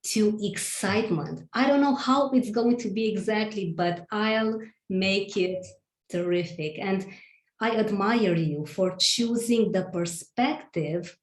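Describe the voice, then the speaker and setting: A middle-aged woman hosting an interview on a video call from a room at home, speaking effusively in deliberate phrases. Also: mid-range voice. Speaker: host. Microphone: video call, sitting back from camera. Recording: roomy, echoing home room.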